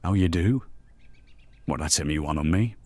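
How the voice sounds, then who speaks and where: Stern, very low-voiced Victorian police inspector. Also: male voice, a little mellow, no echo. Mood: angry. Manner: formal, measured, pointedly sarcastic. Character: stern Victorian police inspector, sardonic, short-tempered interrogator. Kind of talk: audio drama acting.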